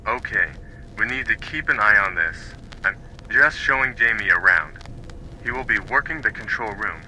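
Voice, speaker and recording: A businesslike male boss of a control room, acted by a man in an audio drama. His lowish voice is matter-of-fact and very crisp-edged, over faint noise. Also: animated, moderate pace, bright, forceful tone, poor audio.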